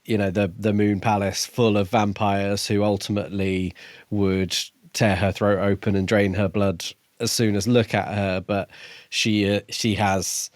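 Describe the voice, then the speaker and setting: A man co-hosts a relaxed book discussion. His voice is a little low, recorded very dry. He builds his points thoughtfully, in short halting phrases. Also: dry recording. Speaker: podcast co-host.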